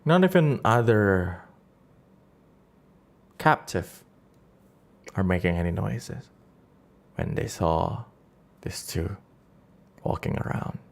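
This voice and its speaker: Casting: male game master for a streamed fantasy campaign, unhurried pace, halting improvised narration with repeated phrases. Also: low-pitched, sing-song, vocal fry. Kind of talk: improvised storytelling of a tabletop campaign, chatting with viewers.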